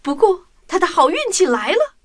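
A woman hosting and narrating a children's audio story in an expressive scripted style, speaking directly to young listeners. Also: very high-pitched, normal speed. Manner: addresses children directly, animated.

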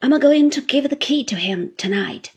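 A woman doing a quiet old fisherman. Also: animated, moderate pace. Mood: gentle. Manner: low, quiet, homely dialect speech.

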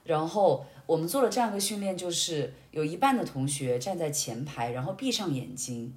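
A woman sharing experiences in casual conversation, explaining things in a reflective, expressive way. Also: low-pitched, brisk. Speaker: podcast guest, amateur acting student.

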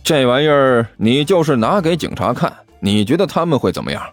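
A man voicing a brazen, stubborn, quick-witted hustler in an audiobook, arguing with sarcasm and sneers. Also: mid-range voice, very animated, dry recording, faint music behind. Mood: smug, threatening.

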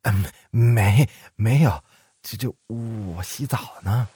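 A man's voice: lowish voice, slow, dark tone, husky, no echo, clean studio sound, exaggerated voice. A man voicing a flustered servant in an audio story, stammering and obsequious toward his superior. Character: fawning, flustered male underling servant.

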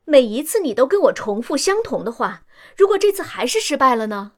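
A defiant, indignant operator, animated delivery. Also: female voice, high voice, brisk. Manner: accusing, sharp-tongued. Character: principled, defiant female machine operator, indignant and sardonic. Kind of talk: Chinese science-fiction radio drama acting.

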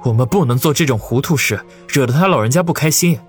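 A man's voice: medium pitch, lively intonation, fast, faint music behind, pitched above the performer's own voice, natural voice. A male narrator voicing an earnest young man who talks in a placating way.

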